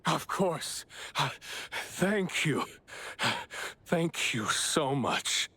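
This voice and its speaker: An actor playing an anxious father in a video game, speaking in a worried, polite and halting manner. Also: on the high side, sing-song, sharp tone, slightly rough, heavily acted. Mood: hesitant gratitude. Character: anxious, hesitant father of a missing boy.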